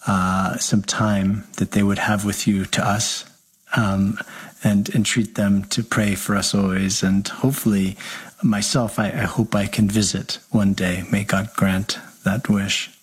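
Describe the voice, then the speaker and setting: A low-pitched, husky man hosting a radio interview as a parish priest. He speaks gently and conversationally, with easy fillers. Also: level tone, a little slow, low-quality recording.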